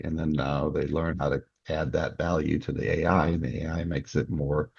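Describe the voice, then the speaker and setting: A man with a gravelly, husky voice talking ideas through speculatively as an interview guest. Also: very deep voice, darkish tone, dry recording. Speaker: guest, novelist. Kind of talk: conversational interview discussion. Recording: dull, muffled dry recording.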